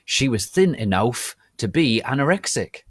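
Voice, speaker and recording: A man's voice: mid-range voice, sing-song, unhurried, husky, no room sound, studio quality, pitched above the performer's own voice. A man voicing a melodramatic, bratty goth teenage girl who narrates her story in a theatrical, petulant, emphatic way. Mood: gushing, haughty. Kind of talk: dramatic reading of amateur fan fiction.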